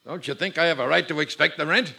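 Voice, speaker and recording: Radio comedy landlord: measured, reasonable businessman, creaky and forceful. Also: fairly high pitch, full character voice. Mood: aggrieved. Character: reasonable, aggrieved landlord, a middle-aged businessman. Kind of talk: old-time radio sitcom acting.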